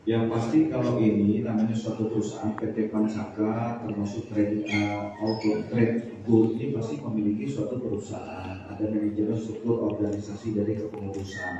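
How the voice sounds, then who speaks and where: A man's voice: little pitch movement, moderate pace, over music. A man, a police official answering formally at a press conference with some English loanwords. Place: large room at a press conference. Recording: boomy echoing hall, distant muffled mic, faint noise.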